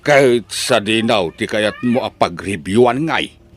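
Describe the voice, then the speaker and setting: A man's voice: lowish voice, lively intonation, measured pace. A man with a Filipino accent playing a proud, hardworking, doting farmer father in an audio drama. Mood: fond, caring. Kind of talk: Ilocano audio drama acting. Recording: faint background noise.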